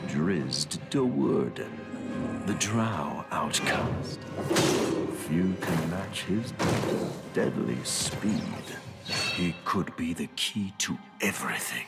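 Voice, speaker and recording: An actor playing a gloating, scheming villain who covets a magic shard, in a game cutscene. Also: male voice, low voice, expressive, drawn-out words, vocal fry, heavily acted. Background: loud orchestral music.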